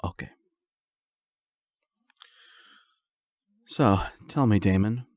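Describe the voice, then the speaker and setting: A man acting as a calm, patient doctor in an audio roleplay, speaking in a formal, measured and clinical manner. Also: lowish voice, dry recording, clean studio sound, natural voice. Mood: courteous, professional. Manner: measured, polite, explanatory.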